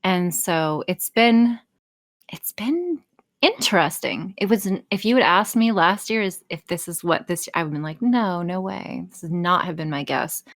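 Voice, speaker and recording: A woman's voice: fairly low pitch, animated, moderate pace. A woman chatting casually as a podcast guest, self-deprecating and swearing freely. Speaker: podcast guest, audio creator.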